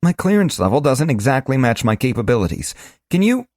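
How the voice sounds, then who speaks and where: A man's voice: medium pitch, very animated, a little fast, darkish tone, dry recording, studio quality, voice pushed up, close to an everyday voice. A man doing a brash young initiate. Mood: coaxing. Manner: insistent, then coaxing. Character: young impatient male initiate, cocky.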